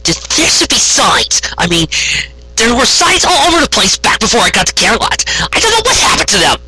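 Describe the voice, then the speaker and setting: A man's voice: very high-pitched, very animated, a little fast, bright, forceful tone, slightly rough, voice pushed up, full character voice, low-quality recording. A man voicing a defensive young female unicorn in a story, hesitant and stammering yet insistent.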